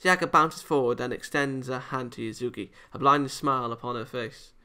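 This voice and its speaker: A man's voice: mid-range voice, moderate pace, pushed and bright, smooth. A man hosting a visual novel let's play, reading aloud and breaking into rambling, emphatic, opinionated commentary. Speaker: gaming video host.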